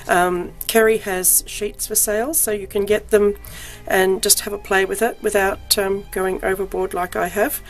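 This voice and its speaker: A middle-aged woman with a croaky voice from being unwell, chatting casually about her craft projects with lots of ums. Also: medium pitch, level tone, normal speed, few pauses, faint music behind, poor audio. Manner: chatty, frequent ums, self-deprecating. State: croaky voice from illness. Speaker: crafting vlogger. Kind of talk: casual show-and-tell.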